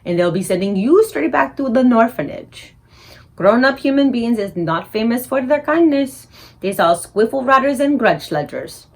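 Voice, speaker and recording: A woman voicing a big, gentle old male giant who mangles his grammar and invents words. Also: fairly high pitch, animated, normal speed. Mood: earnest. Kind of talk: audiobook character reading.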